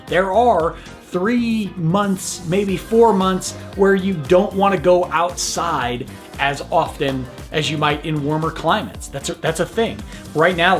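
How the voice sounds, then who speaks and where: A middle-aged man hosting a channel about his home city, talking frankly and jokingly straight to camera. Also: a little high. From Colorado. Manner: chatty, frank and joking, with restarts. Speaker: real estate host of a city channel. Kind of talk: casual talking-to-camera video.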